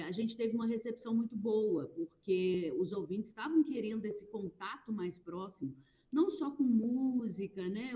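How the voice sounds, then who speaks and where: A Brazilian woman from public radio explains things in an animated way, often pausing on fillers. Her voice is mellow and middle-pitched. The recording sounds dull and lo-fi. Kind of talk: conversational interview answers.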